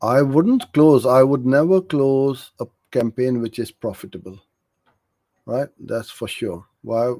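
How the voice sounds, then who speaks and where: A man's voice: mid-range voice, unhurried, warm tone, no echo. A middle-aged man from Karachi, Pakistan, coaching in an informal live question-and-answer session, frank and practical as he explains. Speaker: digital marketing agency owner hosting a live Q&A.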